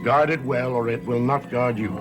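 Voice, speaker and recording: A man acts out an aged, secretive grandfather from a gothic television soap. His mid-pitched voice is theatrical and portentous, each phrase measured, with clear dramatic music playing behind him. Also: fairly even intonation, natural voice. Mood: grave, brooding. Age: older. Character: cryptic, haunted old grandfather with secrets.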